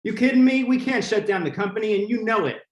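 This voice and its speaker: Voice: medium pitch, fast talker, lo-fi sound, natural voice. An actor playing a blustering company boss, a married man, in old-time radio drama style, in a roomy space. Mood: dismissive, emphatic.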